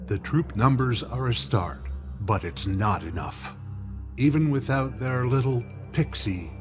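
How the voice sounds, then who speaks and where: A haughty, scheming villain lord, acted by a man heading a dark council in a fantasy drama. He talks slowly and condescendingly, with theatrical swings in pitch, over clear music. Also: expressive, close to an everyday voice. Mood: smug, mocking. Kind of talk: fantasy audio drama acting.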